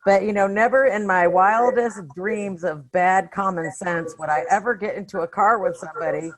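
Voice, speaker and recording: A woman's voice: mid-range voice, moderate pace, slight background noise, rough recording. A woman in a casual discussion as a podcast panelist, speaking in an informal, opinionated, slangy way. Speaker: podcast panelist reviewing films.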